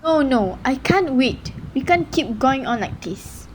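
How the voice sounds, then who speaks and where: A woman's voice: high-pitched, expressive, a little slow, low-quality recording. A woman acting a distressed, indignant wife and mother in an amateur radio drama, emotional and pleading. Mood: firm. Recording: clear background noise.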